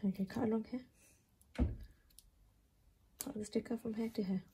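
A woman chatting casually with a young child in a gentle, coaxing, reassuring way, indoors in a home kitchen. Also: a little high, fairly even intonation, a little slow. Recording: noisy room, handling and crinkling sounds.

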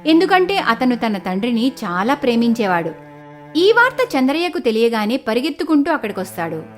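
A woman's voice: on the high side, big pitch swings, a little fast, soft background music. A woman narrating a scripted moral story as its storyteller.

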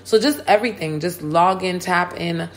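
A hoarse woman hosting a hip hop and politics podcast, talking casually and full of slang and hype. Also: lowish voice, normal speed, a little hiss or hum. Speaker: podcast host. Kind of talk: casual chat.

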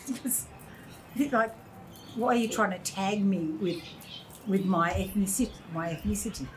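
A woman's voice: mid-range voice, a little slow, pauses often, a little hiss or hum, rough recording. A woman in her sixties with an Australian accent, reminiscing in a casual interview and acting out the voices of people she quotes. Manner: animated storyteller, acts out quoted voices. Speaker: interview guest. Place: indoor room by large windows.

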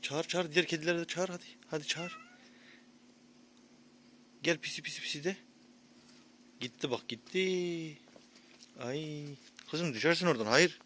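A man's voice: on the high side, normal speed, pauses often, fairly bright tone. A man speaks to his young child in casual, coaxing bursts, often repeating a short prompt. It sounds like an amateur outdoor video from a handheld phone, with faint noise behind the voice. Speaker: parent filming a young child. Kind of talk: casual child-directed chat. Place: outdoors near animals.

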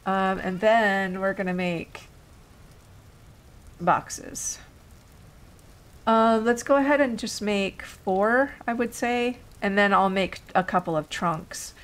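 Slow-talking woman doing casual game commentary, recovering from illness. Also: medium pitch. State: getting over an illness. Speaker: gaming video host. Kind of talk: casual let's play commentary.

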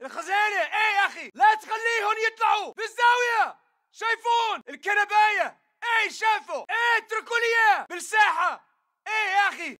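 An aggressive militant fighter in a war video game, with a Levantine Arabic accent. His voice is extremely bright and forceful, pushed very high for a man. He fires off clipped, alert combat callouts at a very rapid pace. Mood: aggressive, urgent. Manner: urgent, clipped barking delivery.

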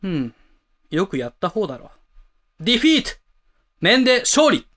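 A man acting an eccentric, theatrical high school club president in an amateur voice drama, with pompous, dramatic pauses. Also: huge pitch swings, a bit bright, heavily acted. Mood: commanding. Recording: music under the voice.

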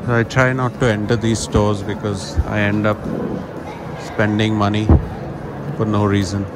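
A man's voice: lowish voice, a little slow, heavy background noise. Chatty man touring a busy mall. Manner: relaxed, chatty tour-guide commentary. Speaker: vlogger. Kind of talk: casual walking vlog narration. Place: large indoor shopping mall. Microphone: handheld phone camera.

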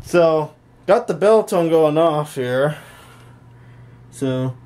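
A man's voice: a little high, lively intonation, very slow, a little mellow. A man hosting a hobby tech vlog, casually narrating a tour from behind a handheld camera in a small room at home. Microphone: handheld camera held by the speaker.

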